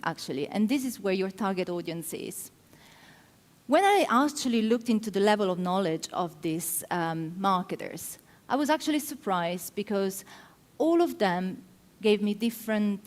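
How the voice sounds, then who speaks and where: A woman's voice: medium pitch, darkish tone. A middle-aged woman from Italy with an Italian accent, giving a conference talk and explaining her points with frequent ums. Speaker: conference speaker. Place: large conference hall. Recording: hall with long reverb.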